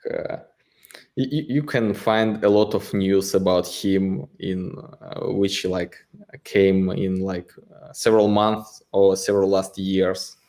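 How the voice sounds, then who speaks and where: A man's voice: sits low, fairly even intonation, slow pace, a little mellow. A man, a guest answering interview questions, hesitating and searching for words with many fillers. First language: Russian. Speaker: guest, exchange co-founder.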